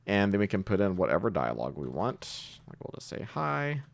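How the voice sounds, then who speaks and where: Very deep-voiced man giving a coding tutorial. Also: huge pitch swings, a little fast, creaky. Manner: informal, thinking aloud step by step. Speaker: programming tutorial host. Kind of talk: casual screencast coding tutorial, explaining while typing.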